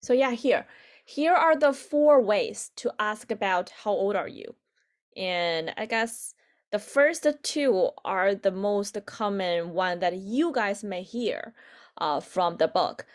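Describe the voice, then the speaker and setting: A woman in her twenties with a Chinese accent and native Chinese, teaching an online language lesson haltingly, repeating phrases for learners. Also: mid-range voice, expressive. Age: 20s. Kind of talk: online lesson, explaining with examples.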